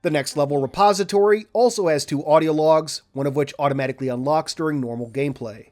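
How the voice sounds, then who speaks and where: A man reading a scripted video game walkthrough in a measured, orderly, instructional way. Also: on the high side, a little mellow. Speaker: video game guide narrator. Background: background music.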